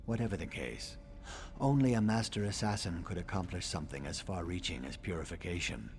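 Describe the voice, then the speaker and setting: An actor playing a scholarly reptilian assassin in a video game, speaking in a measured, formal, dryly sardonic way. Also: male voice, deep voice, lively intonation, a little mellow. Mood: pensive. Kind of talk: video game dialogue acting.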